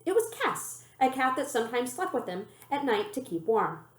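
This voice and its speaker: A woman reading a children's novel aloud as an expressive, animated storyteller. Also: sits high, normal speed. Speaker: librarian reading a book aloud. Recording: roomy, echoey room sound.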